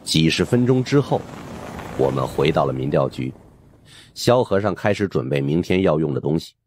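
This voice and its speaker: A man's voice: rumbling low, lively intonation, moderate pace, a little mellow, a little hiss or hum. A man narrating an audiobook as dramatic, scripted storytelling.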